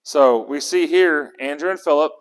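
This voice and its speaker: A man's voice: fairly high pitch, moderate pace, clear, resonant tone. A man leading a Bible study, talking conversationally and putting questions to his group. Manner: conversational, asks the group questions, restarts words. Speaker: Bible teacher. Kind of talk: interactive Bible study teaching. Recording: long echo of a hall.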